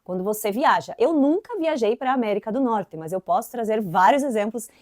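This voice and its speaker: A woman's voice: on the high side, fairly quick, no echo, clean studio sound. A young woman from southern Brazil with an inland Santa Catarina accent, teaching an English lesson in a casual, chatty way, often tagging lines with "né". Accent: Santa Catarina inland Brazilian Portuguese. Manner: chatty, frequent "né" tags, lively emphasis.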